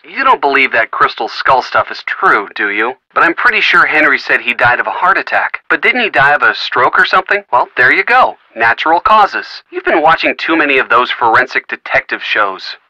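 A man's voice: mid-range voice, big pitch swings, fairly quick, hardly any pauses, sharp tone, no echo, heavily acted, poor audio. A man voicing a teasing, supportive young male friend talking on the phone in a video game. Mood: wry. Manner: teasing, wry, easygoing. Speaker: phone friend of the player character.

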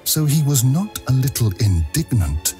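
A man's voice: medium pitch, very animated, measured pace, dark tone, soft background music. A man narrating an audio drama as a scripted, dramatic storyteller.

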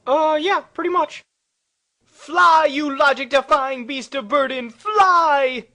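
Woman as high-voiced, sarcastic teenage cartoon girl. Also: slow, pushed and bright, full character voice. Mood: urgent. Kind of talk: comedic cartoon parody dub.

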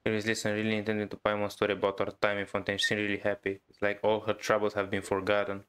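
A man reading game dialogue aloud during a playthrough, understated and even. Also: on the low side, quick pace, smooth. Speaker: gaming YouTuber.

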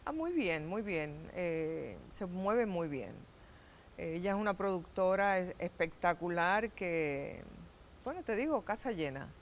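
A middle-aged Puerto Rican woman, an actress, telling stories as a guest in a TV studio interview, animated and gesturing. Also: lowish voice, measured pace, slight background noise. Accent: Puerto Rican Spanish. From Puerto Rico. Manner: animated storytelling with gestures, laughs and acted-out quotes. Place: TV studio set.

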